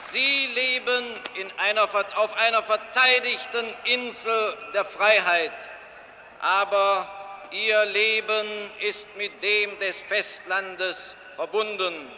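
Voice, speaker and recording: A man interprets a speech live, speaking slowly and sometimes correcting himself mid-phrase. His voice is high and very bright, almost piercing. A long reverb trails his words over a faint bed of background noise. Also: steady tone, a faint crowd. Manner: measured, with occasional halting self-corrections.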